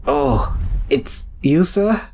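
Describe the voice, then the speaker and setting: An actor playing a deferential, anxious manservant in an old-time radio mystery. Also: male voice, fairly high pitch, animated, drawn-out words, a little mellow, exaggerated voice. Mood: dazed, anxious. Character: deferential, nervous manservant to a gentleman.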